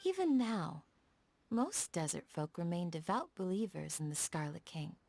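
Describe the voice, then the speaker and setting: A woman voicing a gentle, dutiful young desert village guardian in a video game, speaking in a soft, measured, courteous way. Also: fairly low pitch, very animated, vocal fry, slight background noise. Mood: solemn storytelling. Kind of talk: scripted game dialogue, storytelling.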